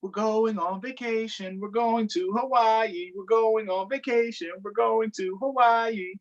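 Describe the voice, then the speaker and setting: A man voicing an excitable young boy frog in a children's storybook read-aloud, with eager, squealing exclamations. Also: sits high, unhurried, muffled recording, pitched above the performer's own voice. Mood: excited.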